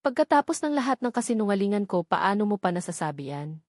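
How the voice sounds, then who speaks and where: A young secretary pleads through tears, weighed down by guilt, as a woman reads her part. Her voice sits at a middle pitch. The sound is dry and close. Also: big pitch swings, fast talker, no echo, natural voice. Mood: pleading. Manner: pleading, tearful.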